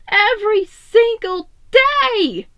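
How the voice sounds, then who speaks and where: A woman's voice: very high voice, animated, slow, a bit bright, no room sound, voice pushed up, exaggerated voice. A woman voicing a proud, emotional teenage turtle brother who is hurting, with an anguished, pleading manner. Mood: anguished crying out.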